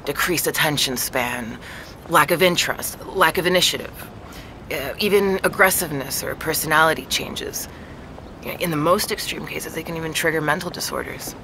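A woman playing a measured, matter-of-fact neurologist who explains things in a naturalistic audio drama. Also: deep voice, pushed and bright, slight background noise, rough recording. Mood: explanatory.

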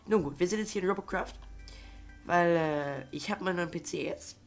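A man's voice: high-pitched, normal speed, vocal fry, soft background music. A young man with a cold, rambling in casual let's-play commentary with many fillers and restarts. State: has a cold. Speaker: gaming YouTuber.

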